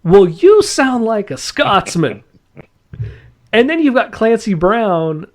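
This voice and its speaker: A man hosting a podcast, chatting casually and enthusiastically. He talks at an unhurried pace with a lively, bouncing pitch, rambling along and laughing often. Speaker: podcast host.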